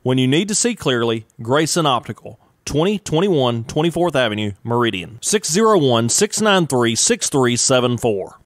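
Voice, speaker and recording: A man reading a local commercial in a steady, measured announcer style. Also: medium pitch, dry recording, clean studio sound. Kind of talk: scripted ad read.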